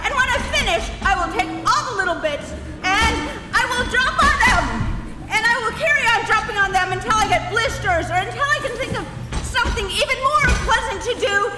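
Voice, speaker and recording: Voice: very high-pitched, animated, brisk. An actor in a live radio play playing an ordinary, flustered Englishman, outraged and spluttering in protest. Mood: sputtering fury. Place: live performance in a hall. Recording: echoey hall, loud music and crowd noise.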